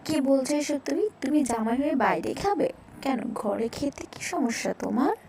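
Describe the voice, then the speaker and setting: A woman voicing a homely family woman, affectionate but quick to nag, in a cartoon fairy tale. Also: sits high, lively intonation, gravelly, darkish tone, a little hiss or hum. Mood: nagging. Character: village women of a family, affectionate mother-in-law and nagging married daughter.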